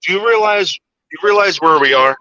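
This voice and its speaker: Insistent, teasing man with a sharp, bright, animated voice, recorded dry and close. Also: high-pitched, quick pace, no echo, dull audio. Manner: teasing, insistent, repeats himself. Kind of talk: crude casual banter.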